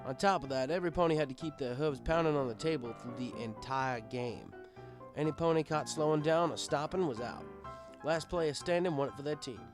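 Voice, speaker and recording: A man's voice: on the high side, a little fast, music in the background. A man reading a scripted first-person story as an audiobook narrator, with dramatic emphasis. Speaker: audiobook reader.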